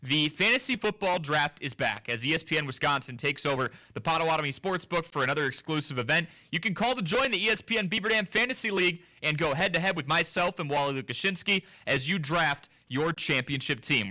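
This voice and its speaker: A man hosting a local sports radio show, delivering promos and banter with energy and quick laughs in the studio. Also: a little high, lively intonation, bright, forceful tone.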